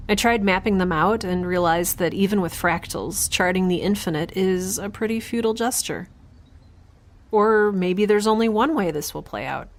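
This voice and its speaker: A woman's voice: medium pitch, moderate pace, natural voice. A woman playing a weary, overwhelmed young scientist in a science fiction audio drama, speaking a hesitant, wry and confiding diary monologue. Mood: weary, brooding. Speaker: narrator and lead of an audio drama.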